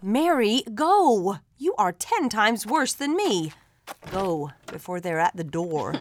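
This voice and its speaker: An actress playing a bossy, flustered middle-aged mother fussing briskly in a Bible drama. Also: medium pitch, big pitch swings, slight background noise. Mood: bossy, sheepish.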